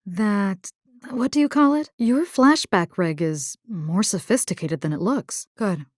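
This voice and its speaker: An actress voicing a tough, caring young military rescue engineer in a video game, salty, wry and resolute. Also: female voice, medium pitch, expressive, measured pace, dry recording, studio quality. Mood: wry, bantering. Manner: salty, plain-spoken, wry. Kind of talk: scripted video game character voice lines.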